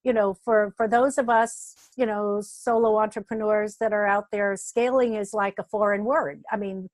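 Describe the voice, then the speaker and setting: A woman's voice: mid-range voice, steady tone, normal speed, no echo. A woman interviewing a guest, enthusiastic and affirming, often repeating words as she builds her questions.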